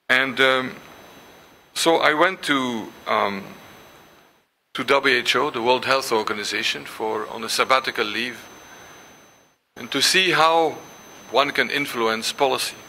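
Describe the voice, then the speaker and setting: An older man whose first language is Dutch gives a symposium lecture, pausing often with ums and restarts and reading passages aloud. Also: medium pitch, pushed and bright, slight background noise. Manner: hesitant, many ums and restarts. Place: lecture hall.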